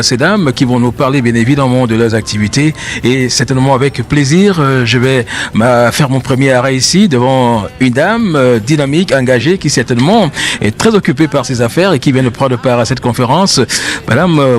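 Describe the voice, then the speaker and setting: Man reporting with formal, flowery phrasing. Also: medium pitch. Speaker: event reporter interviewing exhibitors. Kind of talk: on-location interview.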